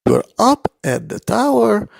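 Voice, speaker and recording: A man's voice: sits high, very animated, normal speed, gravelly, no room sound. A man narrating a toy-play bedtime story for young children, explaining things simply as he goes.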